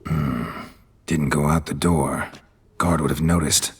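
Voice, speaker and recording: An actor in a video game playing a gruff, stoic monster hunter who mutters tersely in clipped, deadpan fragments. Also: male voice, a bass voice, a little slow, full character voice. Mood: brooding deduction. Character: gruff, laconic male monster hunter for hire, stoic and watchful. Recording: music behind.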